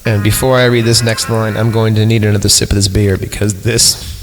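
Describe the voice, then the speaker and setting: A man hosting a comedy podcast, reading a horror story aloud with sarcastic asides and mock-serious pauses. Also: fairly low pitch, little pitch movement, background noise. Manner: sarcastic asides, breaks into laughter, mock-reads punctuation. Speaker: podcast host. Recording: music playing under the voice.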